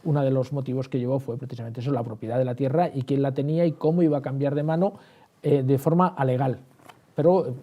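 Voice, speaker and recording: A man's voice: medium pitch, fairly even intonation, fast, warm tone. A man explaining as an interview guest, repeating words and answering his own questions. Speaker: historian and writer, interview guest. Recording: dull, band-limited sound.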